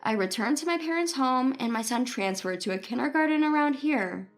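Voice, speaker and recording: High-pitched woman narrating a story. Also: lively intonation, brisk, faint music behind. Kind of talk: scripted first-person story narration.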